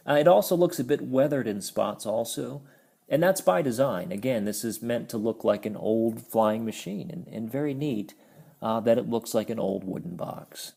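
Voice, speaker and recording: Mellow, husky man reviewing a toy. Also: medium pitch. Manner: friendly, explanatory, stumbles over words. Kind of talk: casual product review.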